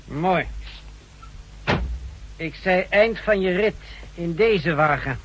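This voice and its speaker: A man's voice: high voice, slow, fairly bright tone, husky, heavily acted. A man playing a commanding secret-service henchman who gives clipped orders in a 1960s radio drama. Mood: coldly commanding. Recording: faintly noisy 1960s radio recording.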